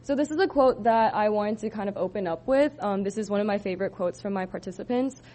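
A young woman from Southern California presents her senior thesis to a room of listeners. She talks in a loose, casual way, filling pauses with ums and likes. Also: medium pitch, dark tone. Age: 20s. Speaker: student presenter. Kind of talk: thesis presentation to a live audience.

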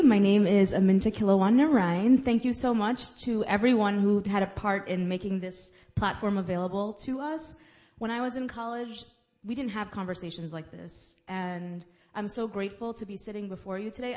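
An earnest woman from the United States addresses a college audience as a panelist. Her voice sits at a middle pitch, and she talks in a personal, slightly rambling way. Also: normal speed, mellow. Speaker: panelist, community organizer and lawyer. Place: college event room.

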